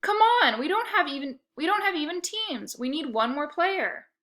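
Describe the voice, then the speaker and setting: A woman voicing a pushy, excited boy calling out to a friend in a children's storybook. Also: high voice, normal speed, pitched above the performer's own voice. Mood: urgent, excited.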